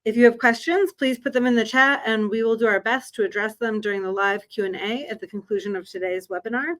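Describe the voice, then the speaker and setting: Female moderator, fairly high voice, polite and formal delivery. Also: steady tone, fast talker. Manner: polite, formal, reads housekeeping notes. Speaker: webinar moderator. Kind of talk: scripted webinar introduction.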